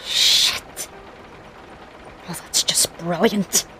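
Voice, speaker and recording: A woman voicing a shaken commander in an audio drama, halting and uneasy. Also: sharp tone, slight background noise.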